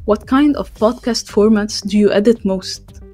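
A woman's voice: medium pitch, measured pace, darkish tone, soft background music. A woman hosting a podcast, reading a scripted introduction and interview questions. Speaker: podcast host.

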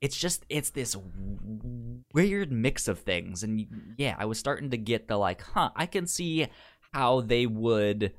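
A man's voice: medium pitch, lively intonation, measured pace. A man co-hosting a podcast, chatting casually about films, often stammering and repeating words as he goes. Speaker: podcast co-host. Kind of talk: casual movie discussion.

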